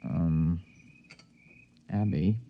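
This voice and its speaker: An actor playing an educated, scheming city researcher with an American accent and an insistent manner, in 1950s radio drama style. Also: male voice, sits low, fairly even intonation, very slow, creaky, full character voice. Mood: tender, awkward. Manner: insistent, stammering when flustered. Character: educated city researcher, excitable, skeptical, scheming, domineering man. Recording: dull vintage radio recording.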